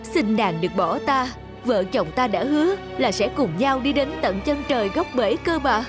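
A woman's voice: fairly high pitch, expressive, unhurried. Woman as tender, earnest young husband, music behind. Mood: grieving, pleading. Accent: Southern Vietnamese. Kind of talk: cartoon folk tale reading.

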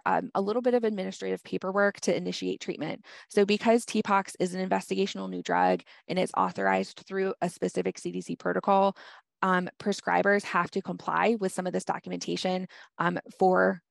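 A woman's voice: mid-range voice, brisk, gravelly, dry recording, studio quality. A woman presenting a medical grand rounds lecture on treatment guidance, speaking practically with frequent "um" fillers. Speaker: health department presenter.

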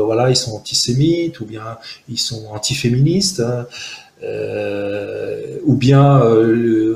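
A man in his forties, originally from Algeria with Arabic as his first language, speaking as an interview guest, earnest and analytical, pausing often with fillers and restarts, talking outdoors into a close camera. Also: on the low side, a little mellow, reverberant. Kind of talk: reflective testimony in an interview. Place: outdoors in a park-like garden by buildings.

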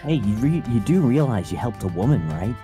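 A man's voice: medium pitch, sing-song, fairly quick, mellow, natural voice. A man voicing a sassy, crude, erratic cartoon hedgehog in an improvised comedy fan dub, sarcastic and mock-dramatic. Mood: teasing. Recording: music behind the voice.

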